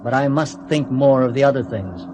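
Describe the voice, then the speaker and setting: Brief: male actor as a controlled, detached astronaut, mid pitch, hissy vintage radio drama. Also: fairly quick, close to an everyday voice. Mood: guarded. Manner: restrained, emotionally guarded. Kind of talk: 1970s radio drama acting. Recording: noisy old radio recording.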